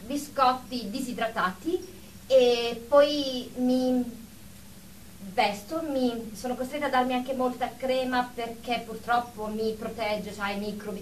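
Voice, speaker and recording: A woman's voice: medium pitch, natural voice. An actress playing a prim, anxious germophobe nurse in a radio drama, fussy, defensive and hesitant as she explains herself. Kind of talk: Italian radio drama acting. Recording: echoey room, distant mic, background noise.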